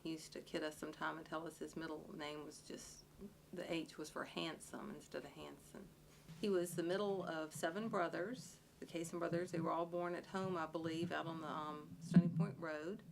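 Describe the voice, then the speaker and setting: A woman speaking haltingly about her father in an interview. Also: low voice, fairly even intonation, vocal fry, slight background noise, rough recording.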